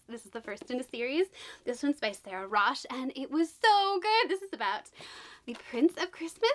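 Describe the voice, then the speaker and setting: A woman hosting a casual book unboxing vlog, a little raspy from being sick, bubbly and gushing, with hushed whispered asides. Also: high voice. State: slightly raspy from illness. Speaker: book channel host.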